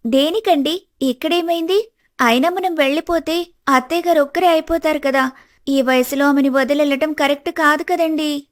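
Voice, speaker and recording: Woman playing a young wife, high voice, principled, outspoken, earnest, persuasive, cartoon family drama. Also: moderate pace, natural voice. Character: clever, principled, outspoken young daughter-in-law. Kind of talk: animated family drama dialogue.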